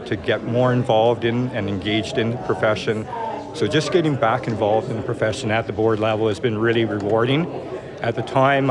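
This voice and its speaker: A man in his sixties, an accountant and board member, answering interview questions with many restarts and fillers. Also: fairly low pitch. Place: busy brunch reception hall. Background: crowd chatter.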